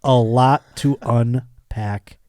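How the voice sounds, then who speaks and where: A man's voice: mid-range voice, fairly even intonation, very slow, a little mellow. A middle-aged man hosting a comedic podcast, chatting casually and reading out news with a mock-earnest, joking manner. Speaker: podcast host.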